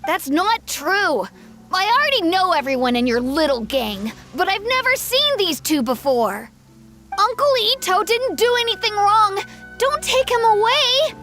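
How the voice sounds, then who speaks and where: A woman voicing an earnest, proud little village boy with a high boyish voice in a video game. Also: sing-song, a little slow, bright, forceful tone, exaggerated voice. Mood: worried, defiant. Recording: game music behind.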